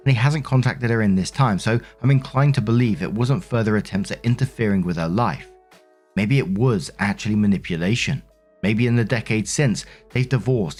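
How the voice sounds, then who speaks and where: A man reading stories aloud as a host, in a conversational narration style with informal asides. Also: on the low side. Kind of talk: scripted read-aloud narration with casual commentary.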